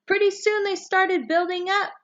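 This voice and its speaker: A woman reading a children's picture book aloud, with expressive, deliberate storytelling phrasing. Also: very high voice, dull audio. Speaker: story reader, read-aloud for young children.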